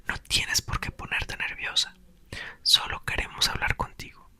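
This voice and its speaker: An awkward father, a science professor, struggles to break news to his daughter in a storybook, as a man whispers his lines. His speech is hushed and halting, with a bright, airy tone. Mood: reassuring.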